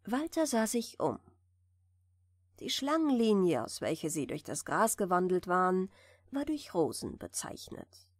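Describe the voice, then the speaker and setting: A woman narrating a fairy tale for bedtime, reading gently and soothingly, at times almost whispering. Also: lowish voice, very animated, a little slow, no echo, studio quality. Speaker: audiobook narrator.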